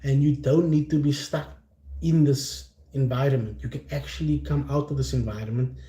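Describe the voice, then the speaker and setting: A South African man with a Cape Flats accent talks straight to his viewers as a livestream host. His tone is warm and relaxed at a middle pitch, his wording candid and slangy. The room adds a noticeable echo to his voice. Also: normal speed. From Cape Town, South Africa. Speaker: content creator hosting a chat livestream. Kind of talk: casual, unscripted livestream talk. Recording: roomy, echoing sound.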